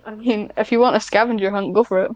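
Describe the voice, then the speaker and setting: A woman's voice: medium pitch, steady tone, quick pace, darkish tone, no room sound, highs cut off. A woman chatting casually as a podcast guest, hesitant and self-deprecating, with a dry sarcastic streak. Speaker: podcast guest, ASMR script writer. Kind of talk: casual interview chat.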